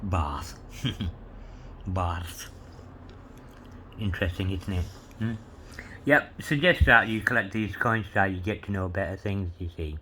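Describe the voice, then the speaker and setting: A man's voice: sits low, slow, long pauses, sharp tone, slight background noise, rough recording. A man rambling through a coin show-and-tell, peppering his talk with "you know" and spelling out inscriptions letter by letter. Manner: meandering, full of "you know" and "yeah", reads inscriptions letter by letter. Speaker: coin collector showing coins.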